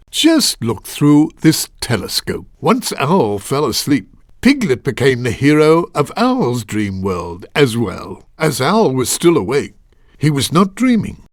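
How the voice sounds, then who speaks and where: A man playing a kindly, patient storyteller, narrating a children's game in a measured, gently musing way. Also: fairly high pitch, no room sound, studio quality, full character voice.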